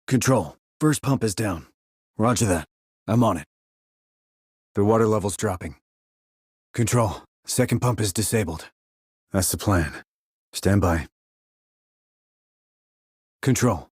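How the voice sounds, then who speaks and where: A man playing a terse, calm military sniper in a video game, clipped and controlled, reporting in tactical radio calls. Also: measured pace, pauses often, dry recording, clean studio sound. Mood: focused, terse. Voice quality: low, husky. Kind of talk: tactical radio call acting.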